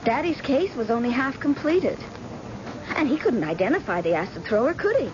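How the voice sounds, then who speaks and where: An actress playing a young, anxious and devoted daughter with earnest, dramatic delivery in an old-time radio drama. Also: female voice, a little high, big pitch swings, moderate pace. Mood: bitter, sorrowful. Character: blind man's devoted, anxious grown daughter. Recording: muffled, noisy old radio recording.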